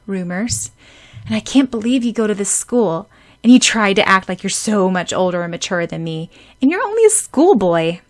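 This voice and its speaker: A woman voicing a spirited teenage schoolgirl with second sight, earnest and quick to protest. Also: medium pitch, very animated, lightly acted. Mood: scornful. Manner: indignant, pleading, earnest.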